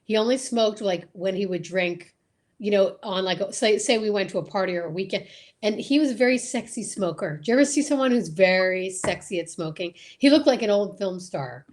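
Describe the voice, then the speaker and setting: A woman's voice: mid-range voice, brisk, darkish tone. A woman co-hosting a casual chat show, emphatic and often repeating a phrase to drive it home. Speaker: show co-host.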